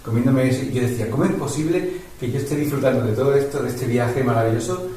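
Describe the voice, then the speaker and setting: A man in casual interview chat, warmly affirming and telling his own story. His voice is mid-pitched and sounds off-mic and distant. The room is very echoey with faint ambience. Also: quick pace. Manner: affirming, enthusiastic backchannels. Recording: distant mic with heavy echo.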